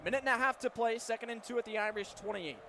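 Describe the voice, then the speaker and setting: Male play-by-play announcer, very high voice, football radio, detailed calls, noisy stadium ambience. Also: fast. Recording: clear stadium noise behind.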